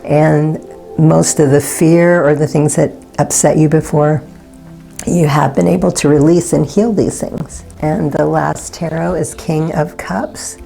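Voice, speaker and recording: A woman giving a pick-a-card tarot reading in a soft, unhurried and gently reassuring way. Her voice is slightly raspy, and she often pauses to search for words. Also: deep voice, steady tone, soft background music. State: slightly raspy from not talking all day.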